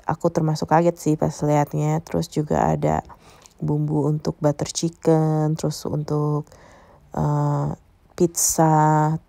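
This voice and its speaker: A woman narrates a casual travel vlog in a dark, mellow voice with a gravelly fry. She explains what is around her straight to the viewers. The sound is close and dry. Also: low-pitched, dry recording. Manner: explanatory, addressing viewers directly. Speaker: travel vlogger.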